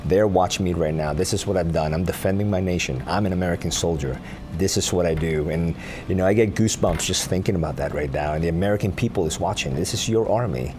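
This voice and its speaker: A very deep-voiced man from Peru speaks as an army sergeant major in a live broadcast interview. He talks earnestly and in measured steps, often restarting his phrases. Clear background noise from an outdoor event runs under his voice.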